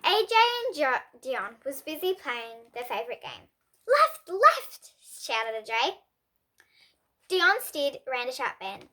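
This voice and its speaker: A young girl reading a children's bedtime story aloud in an expressive way. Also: high-pitched, measured pace. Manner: expressive, with emphatic character dialogue.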